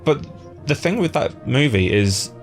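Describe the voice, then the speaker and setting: A man's voice: mid-range voice, expressive, a little slow, soft background music. A man interviewing in a casual, informal chat, talking loosely and freely.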